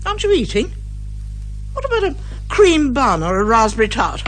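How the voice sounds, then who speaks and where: An actress playing an eccentric, hearty British amateur sleuth in a radio comedy, confident and fond of lecturing. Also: female voice, on the high side, big pitch swings, normal speed, faint music behind. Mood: relishing food. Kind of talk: 1980s radio comedy detective drama acting.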